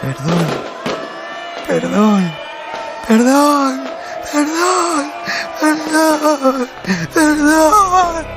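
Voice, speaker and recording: Radio drama: male actor playing a suffering child, shrill and high, begging desperately over loud music. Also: animated, extremely slow, long pauses, crowd noise, exaggerated voice. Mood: desperate, anguished. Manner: wailing, desperate repeated pleading. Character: tormented young boy begging for mercy.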